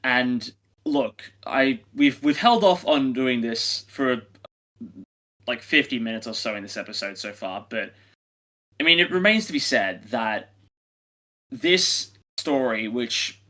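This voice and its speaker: A man's voice: medium pitch, lively intonation, takes it slowly, bright, forceful tone. A man co-hosting a review podcast in casual, opinionated discussion, emphatic, sarcastic and prone to swearing. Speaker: podcast co-host reviewing audio dramas.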